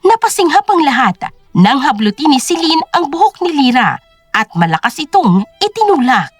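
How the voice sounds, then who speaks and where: Woman storyteller, high pitch, lively dramatic narration, close dry sound, music underneath. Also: normal speed, no room sound.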